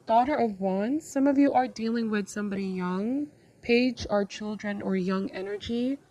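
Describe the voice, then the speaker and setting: A woman hosting a tarot reading, speaking to viewers in short measured phrases with a reflective, advising manner. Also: fairly high pitch, mellow, no echo. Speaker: tarot reader and channel host.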